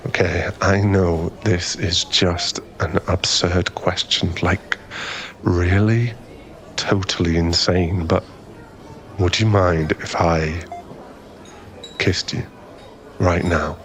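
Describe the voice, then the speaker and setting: A man playing a flustered, smitten young man in an ASMR boyfriend roleplay, halting and stammering as he rambles nervously to a crush. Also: low-pitched, fairly even intonation, fairly bright tone, low-quality recording. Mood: flustered, giddy.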